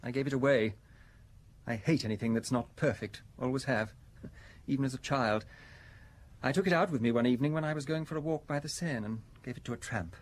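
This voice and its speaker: Casting: actor as a prim, perfectionist man in his thirties, slightly husky, cool under questioning. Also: medium pitch, brisk, lightly acted. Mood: composed. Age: 30s. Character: fastidious, composed male decorator suspect in his thirties. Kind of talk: radio drama acting.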